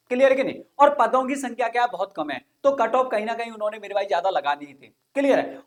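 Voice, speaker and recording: A man's voice: very high voice, fairly bright tone. A man teaching an informal online exam-coaching lesson, explaining things repetitively and often checking that listeners follow. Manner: repetitive, frequent check-in questions.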